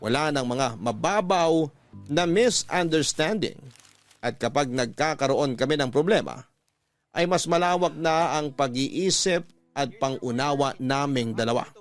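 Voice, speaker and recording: An actor playing a sincere man narrating his own love story in a scripted radio drama, measured and reflective. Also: a little high. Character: sincere male letter sender recounting his love story. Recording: music under the voice.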